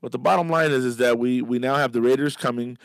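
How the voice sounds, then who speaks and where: A man's voice: medium pitch, fast talker, dry recording. A middle-aged man from Miami, a guest on a radio show, talking emphatically with many fillers and restarts. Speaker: radio guest, business leader. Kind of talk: casual radio interview. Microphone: studio microphone.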